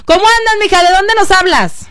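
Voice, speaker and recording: A woman radio host, chatting with callers in a loud, teasing, playful way. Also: extremely high pitch, expressive, brisk. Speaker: radio host taking listener calls. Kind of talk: casual radio chat.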